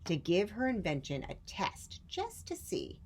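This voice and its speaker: A woman storytime reader with a low voice. She narrates a children's book at a slow, measured pace and puts dramatic emphasis on her words. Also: huge pitch swings, a little mellow, a little hiss or hum.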